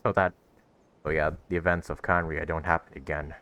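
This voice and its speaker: A man's voice: a bass voice, level tone, fairly quick, fairly bright tone, smooth. A man hosting a gaming video, giving casual, playful let's play commentary as he reacts aloud to the game. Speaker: gaming video host, streamer. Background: game music.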